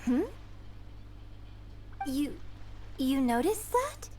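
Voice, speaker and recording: A woman playing a poised, graceful young opera star in a video game, speaking with polite, measured composure. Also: sits high, sing-song, noisy background, heavily acted. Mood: surprised, intrigued. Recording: background music throughout.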